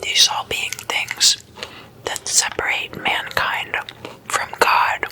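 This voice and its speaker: A woman hosting ASMR, whispering a scripted explainer in a breathy, deliberate way with measured pauses between phrases. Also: pushed and bright, low-quality recording. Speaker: ASMR host.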